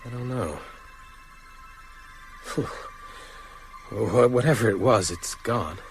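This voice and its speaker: An actor in an audio drama playing a determined, jittery man hunting vampires, urgent and halting as he thinks aloud. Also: fairly low pitch, over music. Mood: distracted. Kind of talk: broadcast audio drama acting. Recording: loud sound-effect noise behind voice.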